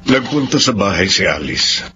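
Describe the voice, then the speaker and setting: Low-voiced, protective father. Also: sing-song, moderate pace, noisy background. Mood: grave. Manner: earnest, dramatic. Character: protective middle-aged father of a grown daughter. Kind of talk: radio soap drama acting. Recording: dull audio under clear music.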